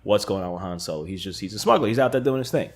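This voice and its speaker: A man's voice: lowish voice, animated, racing. A man co-hosting a casual fan discussion, talking in an informal, conversational way. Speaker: podcast co-host.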